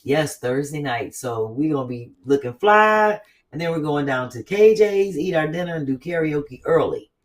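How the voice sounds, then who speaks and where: Woman, casual chat host, animated, blunt, profane, set back from the mic. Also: sits low, normal speed, distant mic.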